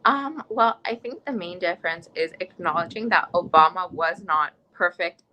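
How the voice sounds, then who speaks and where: A woman's voice: fairly low pitch, moderate pace, highs cut off. A teenage girl with an American accent, a guest in a casual interview, talking with passion and emphasis in long run-on stretches. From United States. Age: teens. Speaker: interview guest, youth nonprofit director.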